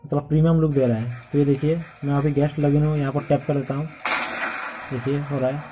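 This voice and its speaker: A man's voice: on the high side, fairly even intonation, fast, mellow, a little hiss or hum, poor audio. A man narrating a casual step-by-step phone tutorial in a repetitive, instructional manner. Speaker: tech tutorial host.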